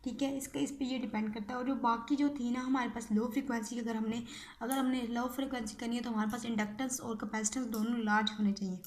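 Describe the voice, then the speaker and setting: A woman's voice: a little high, fairly even intonation, fast talker. A woman giving an informal explanatory lecture, repeating key phrases and often checking in with short tag words. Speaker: physiotherapy lecturer.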